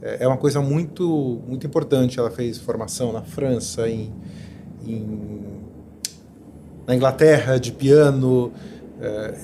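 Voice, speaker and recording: A middle-aged man from Brazil, a podcast guest thinking aloud in relaxed interview talk with many restarts. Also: medium pitch, a little slow, slight background noise. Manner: reflective, lots of fillers and restarts. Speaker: podcast guest, learning specialist. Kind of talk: conversational interview.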